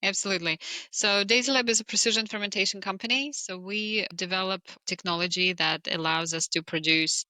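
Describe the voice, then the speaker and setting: A woman's voice: fairly low pitch, bright, forceful tone, no echo. A woman, telling her story conversationally as a founder being interviewed. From Russia, born in Moscow. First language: Russian. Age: 40s.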